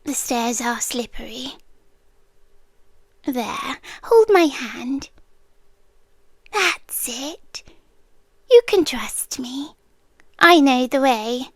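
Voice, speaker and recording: British woman as a high, whispery possessive monster girl. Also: expressive, no echo, clean studio sound, exaggerated voice. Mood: sweetly possessive. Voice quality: soft, breathy, often dropping to a whisper. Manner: slow, coaxing, eerily reassuring with dramatic pauses. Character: possessive centuries-old monster girl, sweetly sinister doting collector of people. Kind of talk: ASMR roleplay. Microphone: close ASMR microphone, dry sound.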